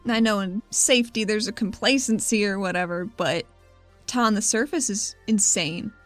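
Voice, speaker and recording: A woman's voice: medium pitch, normal speed, close to an everyday voice. Tabletop RPG heroine, acted by a woman: resolute, pleading, everyday casual delivery over faint music. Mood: urgent, pleading. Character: determined woman rebel pleading to protect her city.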